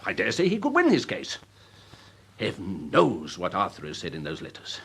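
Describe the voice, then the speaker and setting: An actor playing a proud, irascible older upper-class English major in a period radio drama, clipped and pompous. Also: male voice, low-pitched, huge pitch swings, moderate pace, fairly bright tone, husky, heavily acted. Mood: exasperated.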